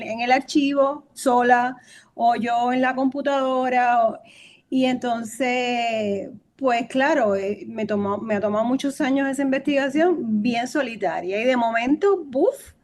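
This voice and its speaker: Animated woman with faded Cuban accent. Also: high voice. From Cuba. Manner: animated, repeats words while searching for them. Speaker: historian and author, guest. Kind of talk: conversational interview.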